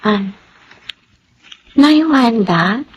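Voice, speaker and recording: A woman's voice: fairly high pitch, expressive, drawn-out words, a little hiss or hum. An actress playing a sweet, earnest young girl in a radio drama, with a respectful, plaintive way of talking. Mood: sweet, eager.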